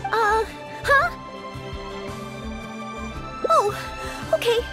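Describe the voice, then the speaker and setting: Young maid knight, very high, lilting, modest, earnest, game character, background music. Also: female voice, lively intonation, extremely slow, exaggerated voice. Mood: bewildered. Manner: earnest, polite, hesitant. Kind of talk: video game character acting.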